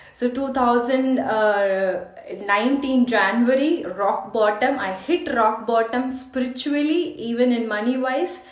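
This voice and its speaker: Casting: female life coach, Indian accent, unhurried delivery, earnest personal storytelling, roomy echoing sound. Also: fairly high pitch. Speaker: life coach hosting a meditation challenge. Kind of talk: personal motivational storytelling to camera.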